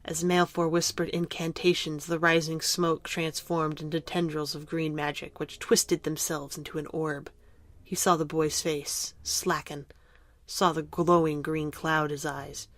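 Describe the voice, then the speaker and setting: A woman reading scripted narration for an audio drama in a measured, dramatic storytelling manner. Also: sits low, flat delivery, no echo.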